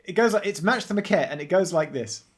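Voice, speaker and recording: A man's voice: sits high, lively intonation, quick pace. A man co-hosting a casual tech chat, explaining things in a self-deprecating way. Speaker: podcast co-host and streamer.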